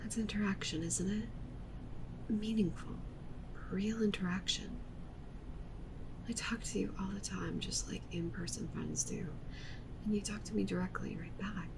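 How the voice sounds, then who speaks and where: A woman playing a clingy, possessive yandere witch streamer in an ASMR roleplay, pleading intimately with the listener and often slipping into breathy whispers. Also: medium pitch, fairly even intonation, measured pace, long pauses, noisy background. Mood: insistent, pleading.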